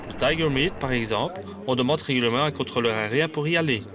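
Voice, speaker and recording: A man's voice: mid-range voice, quick pace, a crowd in the background. Man delivering a scripted testimonial voice-over.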